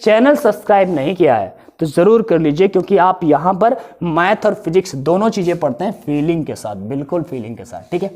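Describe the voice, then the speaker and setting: A man with a hoarse, strained throat talks through a math lesson at a steady, patient pace. He says each number twice and keeps asking his students what comes next. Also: on the high side, brisk, hardly any pauses. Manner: explains step by step, repeats each value, asks the class questions. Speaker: math teacher.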